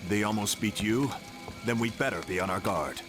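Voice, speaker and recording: A man's voice: on the low side, moderate pace, over music, lightly acted. A man playing a serious, practical superhero leader in a video game, earnest and straightforward. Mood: concerned. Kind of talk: video game cutscene acting.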